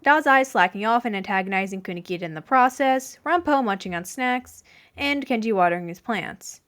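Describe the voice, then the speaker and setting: A woman reading fan fiction aloud as an expressive scripted narration. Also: on the high side, fairly quick. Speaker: podfic reader.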